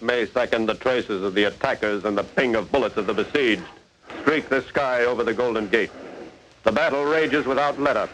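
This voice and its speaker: An American actor playing a grave crime-show narrator, with portentous, dramatic 1940s radio delivery. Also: male voice, mid-range voice, lightly acted, rough recording. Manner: portentous, florid. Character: grave male narrator of a true-crime thriller. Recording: old 1940s radio broadcast.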